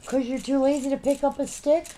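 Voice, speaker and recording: A woman co-hosts a casual live stream with a slightly higher voice that is wearing thin as she loses it. She reads out item numbers and fires back dry, teasing remarks. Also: flat delivery, fairly quick, dark tone, slight background noise. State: losing her voice. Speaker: co-host of a live collectibles show.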